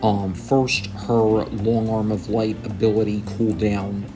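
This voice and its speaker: A man's voice: fairly low pitch, little pitch movement, slow, poor audio. A young man giving gameplay commentary on patch notes, sounding tired and a little under the weather, with frequent ums. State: tired, coming down with a cold. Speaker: gaming YouTuber. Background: game music.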